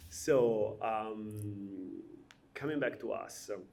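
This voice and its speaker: Man hosting, halting and chatty. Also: lowish voice, vocal fry, darkish tone. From Albania. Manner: hesitant, many fillers, laughs often while telling stories. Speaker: podcast host. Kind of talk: casual conversational interview.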